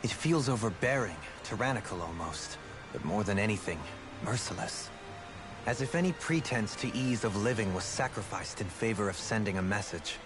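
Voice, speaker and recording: A solemn male warrior in a video game cutscene, calm and observant. His low voice is measured and analytical. Clear game music plays under the dialogue. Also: rough recording. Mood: grave. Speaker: game character. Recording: studio voice over game music.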